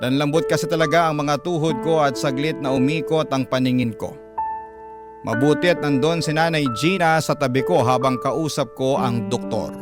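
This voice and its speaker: A man hosting a radio program, reading a letter as dramatic, emotive narration with deliberate delivery. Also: on the high side. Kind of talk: dramatic scripted narration in classic radio style.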